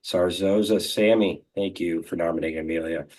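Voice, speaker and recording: A man's voice: deep voice, steady tone, normal speed, a little mellow. A man hosting a film interview show, talking in an informal, encouraging way.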